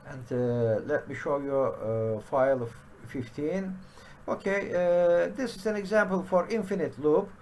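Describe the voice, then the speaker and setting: Brief: middle-aged man, very slow tutorial delivery, slightly high voice, constant fillers. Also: a little mellow, slight background noise. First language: Turkish. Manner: halting, many "uh" fillers. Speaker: programming teacher. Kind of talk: screen-share coding tutorial. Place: home study with bookshelves.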